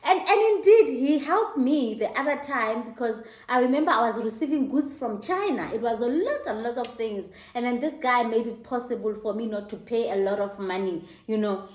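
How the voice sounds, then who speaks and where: A middle-aged South African woman hosting her own show, telling a personal story in an animated, laughing way. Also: normal speed, few pauses. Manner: animated, laughing, high-pitched mock exclamations. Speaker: host. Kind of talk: casual storytelling to camera. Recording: echoey room.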